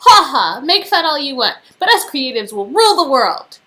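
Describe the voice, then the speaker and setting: Woman acting fiery, high-voiced dramatic young woman. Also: big pitch swings, normal speed, pushed and bright, exaggerated voice. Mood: playful defiance.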